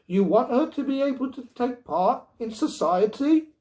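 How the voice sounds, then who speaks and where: Storybook wife and mother, played by a man: high, swooping delivery, indignant, anxious, insistent. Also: lively intonation, normal speed, dark tone. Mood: pleading. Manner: pleading, emphatic.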